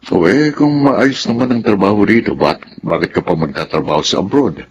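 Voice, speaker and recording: A man playing an earnest, kindly middle-aged father in a Filipino radio drama, with a Filipino accent and a measured, advising manner. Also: fairly low pitch, lively intonation, dull audio, slight background noise, natural voice. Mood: caring.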